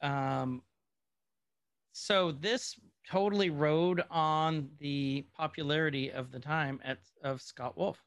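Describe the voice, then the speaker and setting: A man's voice: fairly high pitch, slow pace, a bit bright, clean tone, no room sound, clean studio sound. A man co-hosting a podcast, chatting casually and with opinions about old movies. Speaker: podcast co-host.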